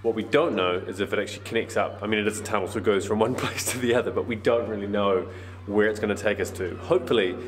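A man in his thirties vlogging on a trip, chatty and excitable, with bursts of loud enthusiasm. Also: on the low side, fast talker. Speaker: travel vlogger. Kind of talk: casual travel vlog chat. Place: outdoors on a windy island and village streets. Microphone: camera mic, handheld selfie framing.

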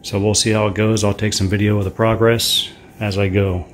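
A man's voice: lowish voice, flat delivery, brisk, a little hiss or hum. A middle-aged man talking casually as he cuts and sews canvas at home, explaining each step and speaking frankly about his troubles. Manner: plainspoken, frank, talks while doing hands-on work. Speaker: sailing channel host. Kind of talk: casual how-to narration while working. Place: indoors in a home, kitchen and living room.

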